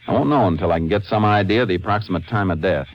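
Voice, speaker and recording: An actor playing a calm, methodical ranger in an old-time radio drama, terse and polite as he questions. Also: low voice, rapid-fire, dull audio. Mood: businesslike. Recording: noisy vintage radio recording.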